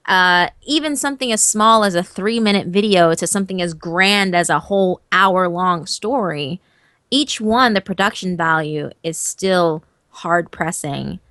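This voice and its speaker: A woman who narrates horror stories, chatting as an interview guest in an animated, emphatic way and swearing casually. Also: on the low side, no echo, studio quality.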